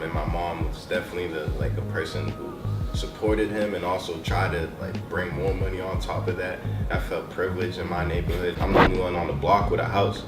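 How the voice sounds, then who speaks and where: A young man from Massachusetts, a small business consultant, talking in a casual, streetwise way in a profile interview. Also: deep voice. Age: young adult. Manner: casual, streetwise, animated self-talk. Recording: echoey room, distant mic, loud music bed. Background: loud background music.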